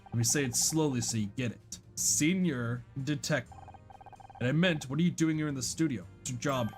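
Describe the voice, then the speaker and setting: A performer voicing a gruff, impatient older male police detective in a video game, curt and commanding. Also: mid-range voice, fairly quick, faint music behind, close to an everyday voice. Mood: stern, impatient.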